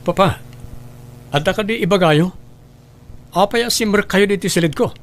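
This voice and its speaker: A man's voice: sits high, normal speed, faint music behind. A man playing a kind, distressed adult son in an audio drama, speaking in an earnest, pleading way. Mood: alarmed. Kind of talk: Ilocano audio drama acting.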